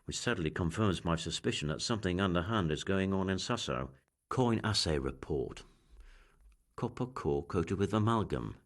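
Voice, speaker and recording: An actor playing a shrewd, learned official in a video game, musing and authoritative. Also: male voice, deep voice, animated, normal speed. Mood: pondering. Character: learned, shrewd male official leading an investigation.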